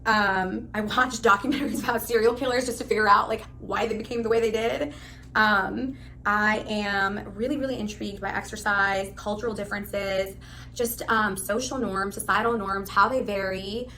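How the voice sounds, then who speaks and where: A woman in her thirties, originally from Pakistan, giving a spontaneous, rambling, animated self-introduction. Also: medium pitch, moderate pace, slight background noise. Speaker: live stream host applicant.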